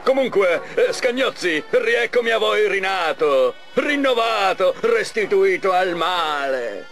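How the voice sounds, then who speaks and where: Megalomaniac game villain: fussy, swooping delivery with music behind. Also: male voice, sits high, fairly bright tone, full character voice. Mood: grandiose. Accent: Italian. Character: petulant, megalomaniac cartoon evil mastermind. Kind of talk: animated game cutscene acting.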